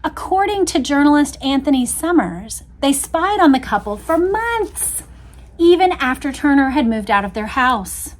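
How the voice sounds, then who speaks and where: A woman with a fairly high voice hosts a read-along. She reads narrative prose expressively and slips into casual, engaged commentary. She speaks directly to the people listening. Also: very animated, moderate pace. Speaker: host reading a book aloud with commentary.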